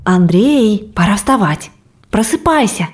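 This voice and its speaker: A young woman playing a fragile, caring twenty-year-old army nurse in an audio drama, with a gentle manner. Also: medium pitch, animated, slow. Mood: coaxing. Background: faint music.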